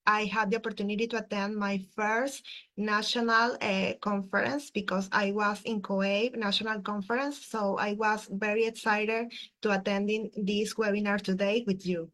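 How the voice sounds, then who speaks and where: A woman introduces herself over a webinar call. She speaks carefully, stopping often on "uh" as she searches for her words. Her voice sits at a middling pitch. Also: steady tone, a bit bright, poor audio. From Venezuela. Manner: careful, halting with many "uh" fillers. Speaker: adult learner presenter. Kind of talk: prepared self-introduction.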